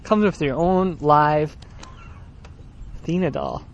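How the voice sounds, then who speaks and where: A man's voice: a little high, slow, dull audio. Guy podcasting from a yard swing, jokey, laughs a lot, bit of background hiss. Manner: joking, laughs often. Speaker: podcast co-host. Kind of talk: casual chat.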